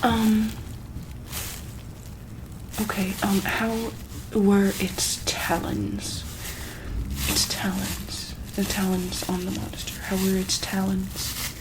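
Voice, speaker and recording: A woman speaks softly and very slowly, with plenty of fry in her voice. She plays a medical examiner in an ASMR roleplay, hesitating, repeating herself and slipping into whispers, over clearly audible background noise. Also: medium pitch, little pitch movement. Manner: slow, hesitant, repeats questions gently.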